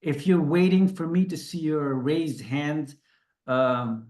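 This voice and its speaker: A man's voice: on the high side, takes it slowly, a little mellow. A man from Belgium hosting an online industry discussion, chatty and self-deprecating, often restarting his sentences. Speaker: host.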